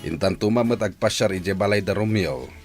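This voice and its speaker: An actor playing a calm middle-aged village husband and father in a radio drama. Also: low-pitched, brisk, soft background music, close to an everyday voice. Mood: sympathetic. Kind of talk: Ilocano radio drama acting.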